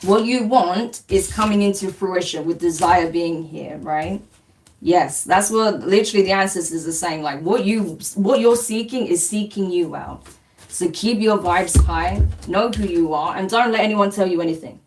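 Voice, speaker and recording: A woman's voice: on the low side, normal speed, distant mic, a little hiss or hum, low-quality recording. A woman from London with a slight New Zealand twang, giving a reassuring live spiritual reading to viewers, often checking in with "okay?" and "right?". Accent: London English with a slight New Zealand twang. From England. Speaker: spiritual reader. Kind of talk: live-stream collective tarot reading.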